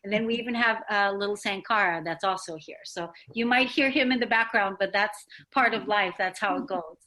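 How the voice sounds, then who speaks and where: A woman hosting a discussion show in a conversational, somewhat halting and rambling way. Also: mid-range voice, pushed and bright. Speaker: talk show host.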